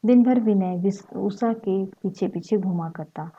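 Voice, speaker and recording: Woman, husky mellow voice, reading a story aloud, muffled lo-fi sound. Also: medium pitch, a little slow. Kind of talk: narrated short story reading.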